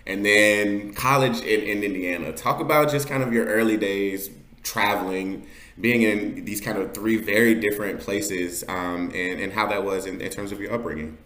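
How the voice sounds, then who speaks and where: A man with a low-pitched voice hosts a casual interview. He is encouraging and affirming as he guides the conversation, and the recording has a noticeable roomy echo. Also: steady tone, normal speed, a bit bright. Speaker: interview host. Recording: roomy, echoing sound.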